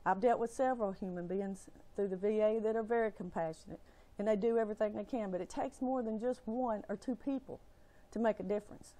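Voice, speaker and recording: A woman's voice: mid-range voice, brisk, dark tone, poor audio. An upset woman with a Southern US accent speaks straight to camera in an impassioned rant. Her voice shakes, and her delivery is emphatic and exasperated, with plain folksy phrasing. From Alabama, United States. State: upset, shaky voice. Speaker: family caregiver.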